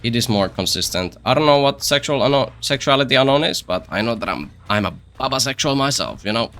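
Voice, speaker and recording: A man's voice: mid-range voice, lively intonation, fast talker, a bit bright. A man giving casual gameplay commentary as a streamer, rambling and repeating phrases with self-deprecating, joking asides. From Finland. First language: Finnish. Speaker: game streamer.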